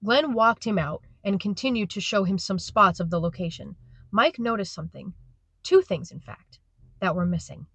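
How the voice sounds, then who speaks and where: A woman's voice: medium pitch, expressive, normal speed, gravelly, a bit bright, slightly rough, dry recording. Dry-recorded woman narrating an audio drama.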